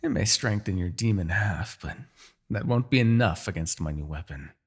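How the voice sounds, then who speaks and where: A man's voice: low-pitched, lively intonation, normal speed, heavy background noise. A man playing a proud, bitter, centuries-old demon king in a fantasy audio roleplay, menacing, theatrical and sardonic. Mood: pained, gloating.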